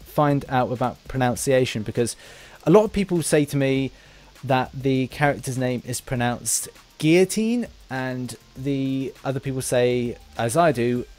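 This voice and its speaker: English guy hosting a chatty gaming podcast, mid pitched voice, kinda rambles and trips over explanations. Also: measured pace, darkish tone. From England. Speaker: host interviewing a guest for a gaming channel. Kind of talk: casual podcast interview.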